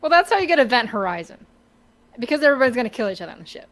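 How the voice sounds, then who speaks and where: A woman's voice: fairly high pitch, very animated, racing, fairly bright tone. A woman in her thirties chatting casually as a podcast co-host. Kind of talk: casual chat.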